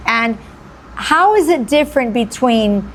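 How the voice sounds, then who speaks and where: Effusive woman host, a bit high. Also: animated, measured pace, slight background noise. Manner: effusive, repeats phrases for emphasis. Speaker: radio show host. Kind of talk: enthusiastic interview.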